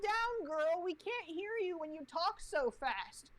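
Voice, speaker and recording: A woman's voice: very high voice, animated, slow. A woman chatting casually and teasingly as a host reacting to a show. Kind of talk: casual reacting chat over a video.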